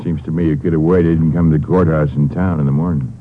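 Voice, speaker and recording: Very deep, gruff county attorney. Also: male voice, steady tone, quick pace. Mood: irritated. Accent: American. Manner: gruff, defensive. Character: grumpy, evasive male small-town county attorney. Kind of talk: 1950s American radio drama acting. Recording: narrow, noisy old radio broadcast.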